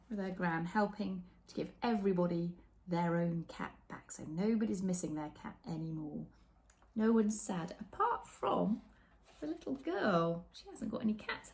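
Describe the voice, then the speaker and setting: A middle-aged woman reading a picture book aloud to children, animated and expressive, with chatty asides and hushed, dramatic phrasing. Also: mid-range voice, creaky. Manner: animated, dramatic pauses, drops into whispers. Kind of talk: expressive storytime read-aloud with asides to young viewers. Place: small room with bookshelves, indoors.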